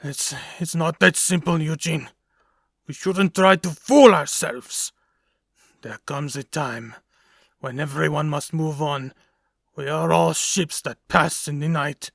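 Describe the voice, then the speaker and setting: A man playing a soft-spoken, menacing crime boss in a comic dub, with measured, falsely regretful delivery. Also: fairly high pitch, creaky, no room sound, studio quality, exaggerated voice. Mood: grave, regretful.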